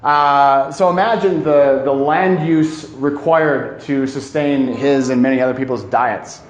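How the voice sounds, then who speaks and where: A man presenting an informal educational talk, speaking emphatically and haltingly, often repeating key phrases for weight. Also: mid-range voice, steady tone, slight background noise. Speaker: presenter giving a climate talk. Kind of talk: informal educational lecture to a live audience. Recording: echoey room.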